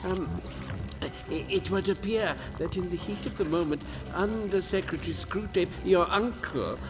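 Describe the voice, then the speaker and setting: A man playing an officious demon underling in a radio drama, speaking in a halting, fussy, stiffly formal manner. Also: sits high, music in the background, lightly acted. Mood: nervous, formal.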